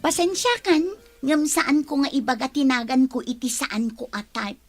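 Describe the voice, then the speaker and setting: A woman's voice: sits high, animated, moderate pace, faint music behind. An actress playing an aloof, snippy young female college student in a radio drama, curt and haughty. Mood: snippy, annoyed.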